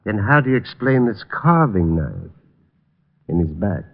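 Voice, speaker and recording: An actor playing a formal, deferential butler with a courteous, measured manner in an old-time radio drama. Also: male voice, fairly low pitch, very animated, creaky, heavy background noise, exaggerated voice. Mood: puzzled formality. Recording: dull vintage radio recording.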